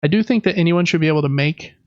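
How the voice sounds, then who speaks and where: A man's voice: a little high, racing, no echo, muffled recording. A man co-hosting a podcast, chatting casually about video games. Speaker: podcast co-host.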